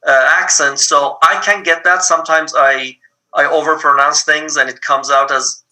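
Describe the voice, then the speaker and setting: A man from Iran with a Persian accent, teaching an online programming lab and explaining things patiently with frequent pauses. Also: on the high side, fairly even intonation, pushed and bright, poor audio. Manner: patient, explains step by step with many ums. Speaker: lab instructor. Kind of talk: live online coding lab.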